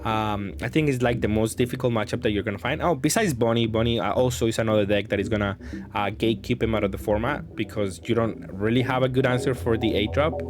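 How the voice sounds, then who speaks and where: A man's voice: fairly low pitch, quick pace, noisy background. A man giving casual gameplay commentary, thinking aloud in a hesitant, self-correcting way. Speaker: gaming content creator commentating matches.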